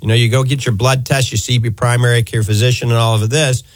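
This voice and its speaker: A man's voice: medium pitch, steady tone, fast, dry recording. An American man hosting a live health call-in show, explaining things fluently and emphatically on a close studio microphone. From New Orleans area, United States. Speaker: radio call-in show host, eye doctor. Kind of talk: live health talk radio.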